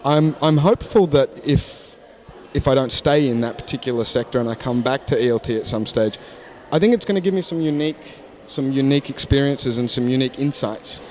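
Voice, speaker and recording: A man's voice: mid-range voice, fairly quick, a little mellow, slight background noise. A man, a guest in a casual interview, talking frankly and thoughtfully with many restarts, into a handheld mic at a conference. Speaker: interview guest, English teacher. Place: conference hall lounge, indoors.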